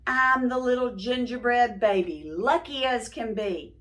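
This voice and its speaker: A woman's voice: a little high, unhurried, a bit bright. A woman voicing a tiny, gleeful, cheeky gingerbread baby from a children's storybook. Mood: smug, content.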